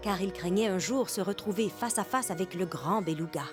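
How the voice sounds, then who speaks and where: A woman's voice: fairly low pitch, a little fast. A woman reading scripted narration for a French children's cartoon story. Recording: loud music under the voice.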